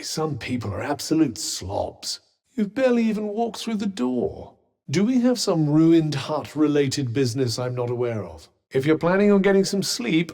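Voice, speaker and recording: A man's voice: on the high side, a little mellow, big-room sound. A man voicing a sardonic, witty male talking bracelet in a video game, with dry, teasing quips.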